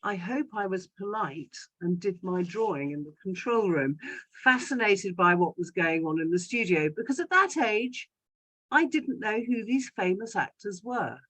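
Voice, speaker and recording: Woman in her sixties, measured speaker. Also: mid-range voice, lively intonation, a bit bright, low-quality recording. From London, England. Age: 60s. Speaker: guest speaker giving a talk about a parent. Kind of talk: prepared personal talk read aloud. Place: indoors in a home study, on a video call.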